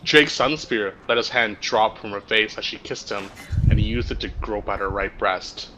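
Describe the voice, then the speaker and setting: A man's voice: on the low side, steady tone, moderate pace, bright, forceful tone, muffled recording. A man reading roleplay text aloud to friends over voice chat, stumbling now and then and holding back laughter. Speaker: story reader. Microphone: online voice chat microphone. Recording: faint background noise on voice chat.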